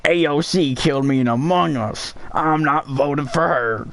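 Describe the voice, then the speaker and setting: A man's voice: on the high side, moderate pace, a bit bright. A man co-hosting a podcast, riffing silly jokes in casual chat. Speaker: podcast co-host.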